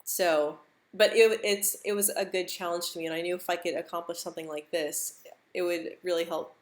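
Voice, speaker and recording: A woman's voice: lowish voice, steady tone, brisk. A young American woman who stutters, repeating words and sounds, chatting casually on a live stream and laughing easily. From United States.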